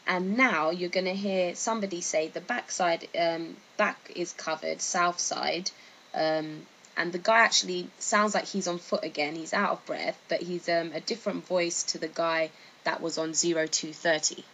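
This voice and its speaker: A woman's voice: lowish voice, steady tone, normal speed, a bit bright, poor audio. A woman giving informal explanatory commentary in a hesitant, tentative manner. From United Kingdom. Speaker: video narrator. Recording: faint background noise.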